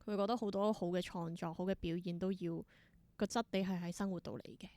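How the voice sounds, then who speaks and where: A woman's voice: on the low side, steady tone, fairly quick, mellow, no room sound. A young adult woman with a Hong Kong Cantonese accent, telling stories casually as an interview guest and acting out bits of dialogue. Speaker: podcast guest, theatre performer.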